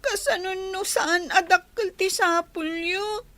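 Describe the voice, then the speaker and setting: A woman's voice: extremely high pitch, slow pace, bright, forceful tone, dry recording, full character voice. A woman playing an anxious, obedient young daughter with a plaintive manner in an Ilocano radio drama. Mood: tearful pleading.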